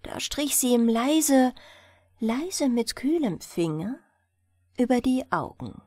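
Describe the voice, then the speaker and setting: A woman reads a fairy tale for bedtime in a fairly high voice. She takes her time and moves her pitch expressively, in the manner of an audiobook storyteller. The sound is close and dry. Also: big pitch swings, takes it slowly, dark tone, no echo. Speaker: audiobook narrator.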